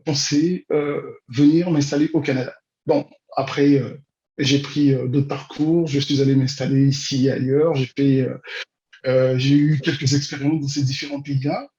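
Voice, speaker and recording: A man speaks as an interview guest. His voice sits fairly high, and he picks his words slowly and hesitantly. The sound is muffled, with clear room echo. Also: warm tone. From Congo-Brazzaville. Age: 40s. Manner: hesitant, measured, with long drawn-out pauses. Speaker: interview guest, immigration consultant and teacher. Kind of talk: conversational interview answers. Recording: dull, roomy, echoing sound.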